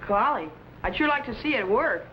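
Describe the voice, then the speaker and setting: An eager American teenage boy, high-pitched and earnest, in an old sci-fi serial. He is wide-eyed and respectful, heard through a dull, noisy vintage soundtrack. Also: expressive, moderate pace. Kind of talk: 1950s sci-fi serial acting.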